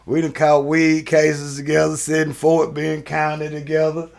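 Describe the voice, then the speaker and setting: Gravelly man reminiscing casually. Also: fairly high pitch, monotone, moderate pace. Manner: informal, slangy. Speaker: interview guest.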